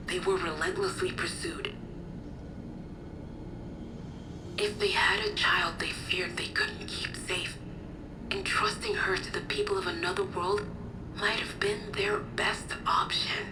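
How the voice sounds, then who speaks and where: An actress voicing a composed, articulate resistance officer in a video game, explaining things formally and with measured care. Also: female voice, mid-range voice, steady tone, in a whisper, over music, lightly acted, low-quality recording. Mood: grave, gentle. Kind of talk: video game cutscene acting.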